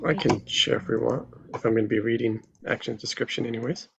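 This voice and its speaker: A man's voice: medium pitch, fast talker, gravelly, a little hiss or hum. A man chatting casually and politely on an online group call, deferring to the others as a table read participant.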